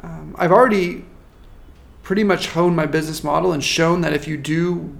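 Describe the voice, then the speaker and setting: A man working as a marketing consultant, giving a measured, thoughtful interview answer with frequent restarts. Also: on the high side, fairly even intonation, gravelly. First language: not French.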